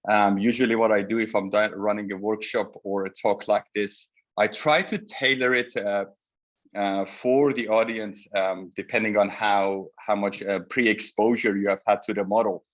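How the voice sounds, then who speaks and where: Man in his 40s, deepish voice, courteous lecturer on a muffled video call. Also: steady tone. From Iran. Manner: polite, measured, addresses audience directly. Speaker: presenter, psychotherapy researcher. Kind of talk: webinar lecture. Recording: slightly dull video call audio.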